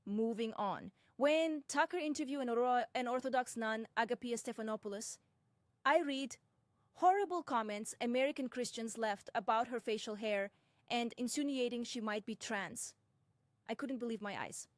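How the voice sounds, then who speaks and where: A young woman tells her own story in a fairly high voice. She speaks slowly and deliberately and drops in candid side remarks. The recording sounds dry and close. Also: no room sound. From Russia. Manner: measured, deliberate, with frank asides. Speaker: personal commentator. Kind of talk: first-person reflective narration.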